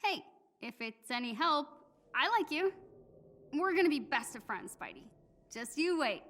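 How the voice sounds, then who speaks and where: A woman's voice: sits high, big pitch swings, normal speed, a bit bright, big-room sound. A woman voicing a bubbly, teasing young superheroine in a video game, chirpy and playful. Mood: cheerful, reassuring.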